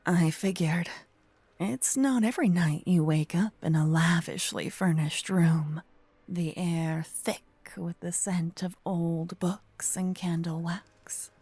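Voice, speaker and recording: Woman acting a slow, breathy, possessive vampire protector. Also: on the low side, steady tone, dry recording, heavily acted. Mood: soothing, knowing. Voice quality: soft, breathy, often whispering. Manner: slow, intimate, earnest, dramatic pauses. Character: gentle possessive female vampire kidnapper, self-proclaimed protector. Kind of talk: breathy close-up ASMR roleplay acting. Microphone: close binaural microphone, ear to ear.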